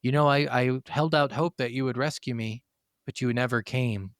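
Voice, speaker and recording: A man's voice: medium pitch, moderate pace, smooth, no echo. Blunt man in casual podcast chat, dry sound. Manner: informal, blunt, swears freely. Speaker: podcast co-host. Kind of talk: casual chat about video games.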